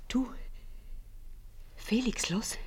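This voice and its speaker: An actress with a Swiss German accent playing a timid young sweetheart, speaking in a hushed, breathy way in a 1950s radio comedy. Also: female voice, very slow, whispered. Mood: anxious, hushed. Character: timid young sweetheart afraid of her father.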